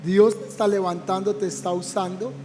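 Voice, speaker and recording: A man's voice: high-pitched, flat delivery, a little fast, a little mellow, long reverb tail, slight background noise. A man, a pastor, interviewing a guest in a church program with an encouraging pastoral manner.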